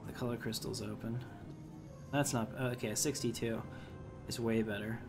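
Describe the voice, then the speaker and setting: A man's voice: on the low side, steady tone, normal speed, over music. A man giving casual, chatty gameplay commentary, thinking aloud to his viewers as he plays. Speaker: gamer hosting a playthrough.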